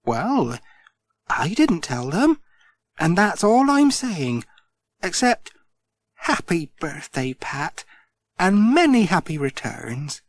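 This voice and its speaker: A woman's voice: lowish voice, sing-song, takes it slowly, long pauses, no room sound, pitched above the performer's own voice, exaggerated voice. A man voicing a cheerful, chatty middle-aged village postmistress in a storybook reading. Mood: teasing. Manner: chatty, teasing.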